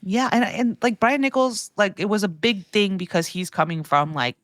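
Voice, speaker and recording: A woman speaking as a guest commentator in a casual podcast discussion, halting and leaning heavily on "like" as she talks. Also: medium pitch, expressive, no echo, clean studio sound. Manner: halting, heavy use of "like". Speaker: guest commentator on grocery and consumer brands.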